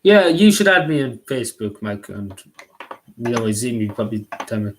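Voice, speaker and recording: A young man with a Derry accent from Northern Ireland, chatting casually on a live stream, rambling and apologetic with many halting restarts. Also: medium pitch, sing-song. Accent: Derry, Northern Irish. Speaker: livestreamer.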